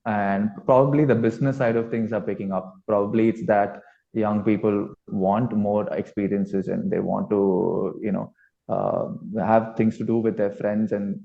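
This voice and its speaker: A man's voice: on the low side, flat delivery, normal speed, vocal fry. A man giving casual, tentative interview answers as a band musician, on slightly dull audio. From South India. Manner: tentative, thinking aloud.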